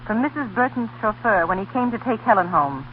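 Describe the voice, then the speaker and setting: An actress playing a proud, indignant young schoolteacher in a 1930s radio drama, speaking crisply and defiantly. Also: female voice, fairly high pitch, lively intonation, brisk, narrow, tinny audio, natural voice. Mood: cold, defiant. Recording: thin, faintly noisy 1930s radio broadcast.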